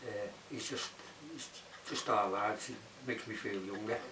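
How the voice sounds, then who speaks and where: A man in his sixties with a lowish voice and a Liverpool accent, speaking as an interviewee. He sounds distant from the mic in a roomy space, with noticeable background noise. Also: steady tone, unhurried. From Bootle, Liverpool, England. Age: 60s. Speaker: documentary interviewee. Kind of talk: unscripted interview answers.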